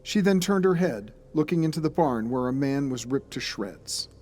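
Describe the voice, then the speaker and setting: An actor playing a grave storyteller, narrating a friend's dark case in a scripted audio drama. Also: male voice, medium pitch, lively intonation, normal speed, lightly acted. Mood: grave, ominous.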